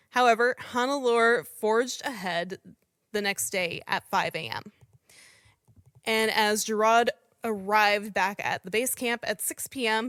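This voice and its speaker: Woman, podcast host, casual true-story narration, middle pitch, dry close sound. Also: a bit bright, dry recording. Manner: conversational, apologizes for mispronouncing names.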